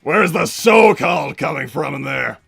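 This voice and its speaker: Bombastic cartoon villain scientist, middle-aged male, forceful, gravelly delivery, exclaiming theatrically in a livestream Q&A, music underneath. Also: a little high, animated, normal speed, exaggerated voice. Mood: blustering, bemused. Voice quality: gravelly, raspy. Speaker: livestream host in character. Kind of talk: improvised in-character livestream Q&A, reading out viewer messages.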